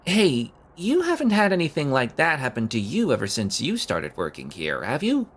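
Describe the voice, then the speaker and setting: A man's voice: medium pitch, wildly swooping pitch, moderate pace, slightly rough. A man acting in an audio drama as an awkward, overeager young man who stammers and rambles nervously. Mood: uneasy. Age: young adult.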